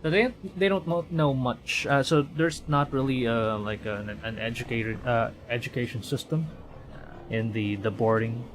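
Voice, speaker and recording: A man giving casual, halting live game commentary and reading story text aloud. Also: mid-range voice, slight background noise. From Philippines. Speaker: streamer.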